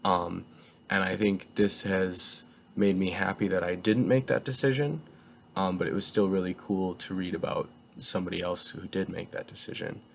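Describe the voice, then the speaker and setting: A man's voice: sits low, moderate pace, vocal fry, slight background noise. A young man casually reviewing a book, getting over a cold, talking in a hesitant, rambling way full of filler words.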